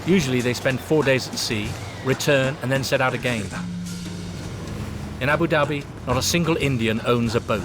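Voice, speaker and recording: A man's voice: medium pitch, moderate pace. Guy doing documentary voice-over, dry wry tone, music playing underneath. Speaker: documentary narrator. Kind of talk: scripted narration.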